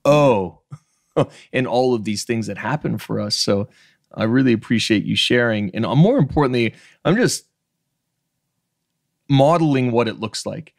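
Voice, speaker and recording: A man's voice: medium pitch, animated, measured pace, creaky, pauses often, dry recording, studio quality. A man in his thirties from Canada's Maritimes hosting a podcast interview over a video call, talking frankly and emphatically and swearing freely. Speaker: podcast host. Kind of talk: casual interview chat. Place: home room with bookshelves, video call. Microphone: studio microphone on stand.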